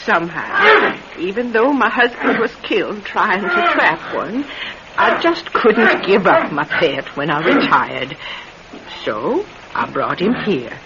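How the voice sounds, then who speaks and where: An actress playing a slight old retired circus woman, meek yet sly, with a deliberate, folksy delivery in a radio drama. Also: medium pitch, very animated. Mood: calm, reminiscing. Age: older. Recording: noisy, dull old radio drama recording.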